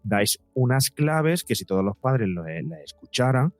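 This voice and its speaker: A man's voice: medium pitch, very animated, normal speed, no echo, soft background music. A middle-aged man with a Spanish accent from Spain, giving emphatic, rambling explanations as an expert guest in an interview. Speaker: guest psychologist and podcaster.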